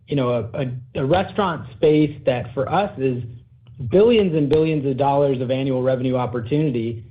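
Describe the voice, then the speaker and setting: A man's voice: medium pitch, moderate pace. Male executive for an investor call, businesslike and corporate, heard over a narrow phone line. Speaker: company executive. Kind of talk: earnings call presentation and answers. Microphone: conference call line.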